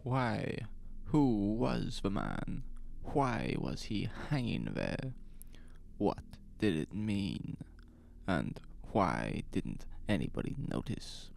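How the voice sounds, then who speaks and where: A man narrating a scripted horror story in a deliberate, suspenseful, dramatic manner. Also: fairly low pitch, big pitch swings, gravelly, darkish tone. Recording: faint noise under ambient sound effects.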